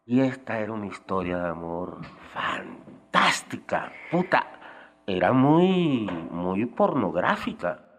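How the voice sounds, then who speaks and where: A man's voice: lowish voice, sing-song, takes it slowly, a bit bright, slight background noise. An older man telling anecdotes casually in an interview, with salty, colloquial language. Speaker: former guerrilla radio member giving testimony.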